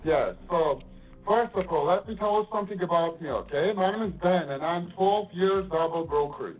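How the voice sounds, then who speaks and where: Aggressive Eastern European broker, male, high voice, tinny phone line, non-native English. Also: normal speed. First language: not English. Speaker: caller, freight broker. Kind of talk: confrontational phone call.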